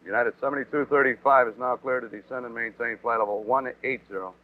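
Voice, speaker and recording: A man's voice: mid-range voice, fairly even intonation, quick pace, narrow, tinny audio. A man, an air traffic controller, giving clipped instructions over a noisy old radio. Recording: thin, very noisy radio with clicks.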